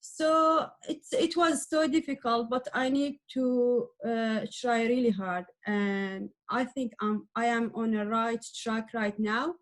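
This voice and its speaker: A middle-aged woman from Iran whose first language is Arabic, answering interview questions in English with many pauses and restarts as she searches for words. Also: on the high side, fairly even intonation. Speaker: adult learner guest.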